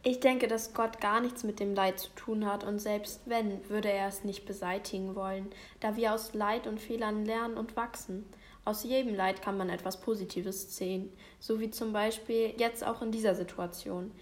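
A woman in her fifties, a pastor, speaking calmly and in a measured way as a guest in a discussion. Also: mid-range voice. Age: 50s.

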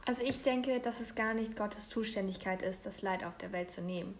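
A woman's voice: medium pitch, fairly quick, a little hiss or hum. A woman in her twenties, a theology student, stating her views matter-of-factly. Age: 20s.

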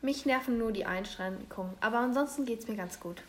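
A woman's voice: on the high side, brisk, slight background noise. A woman in her twenties, a theology student, stating her views matter-of-factly. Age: 20s.

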